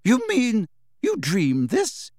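A man's voice: high-pitched, huge pitch swings, a little mellow, no room sound, studio quality, pitched above the performer's own voice, exaggerated voice. A man reading an audiobook, voicing a stern older woman teacher who speaks curtly. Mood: questioning. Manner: curt, brisk.

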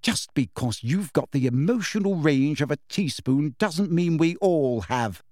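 Man voicing a brisk, bossy teenage girl. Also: on the high side, very animated, a little mellow, no room sound, studio quality, pitched above the performer's own voice. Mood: exasperated, lecturing. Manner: businesslike, dignified, exasperated.

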